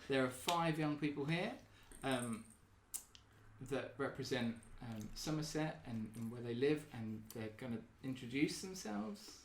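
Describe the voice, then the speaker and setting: A man hosting a group talk in a meeting room, asking gentle questions with many hesitations and ums. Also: mid-range voice, expressive, measured pace, a little hiss or hum. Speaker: group facilitator and podcast host. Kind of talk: casual group discussion. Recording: roomy echo.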